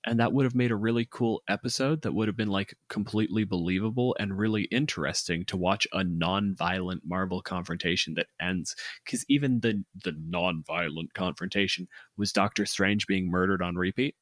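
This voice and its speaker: A man's voice: low voice, normal speed, hardly any pauses, no room sound, studio quality. A man co-hosting a podcast in casual, conversational discussion. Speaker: podcast co-host.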